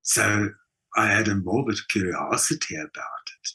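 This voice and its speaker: Husky, low-voiced South African man. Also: moderate pace, pushed and bright, rough recording. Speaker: writer and documentary maker being interviewed. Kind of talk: reflective interview conversation.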